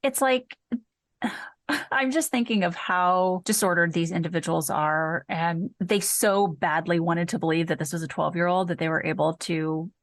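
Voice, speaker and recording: Female podcast co-host, slightly low voice, informal and wry, recorded very dry. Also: fairly even intonation, normal speed, no room sound. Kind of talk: casual podcast discussion of true crime.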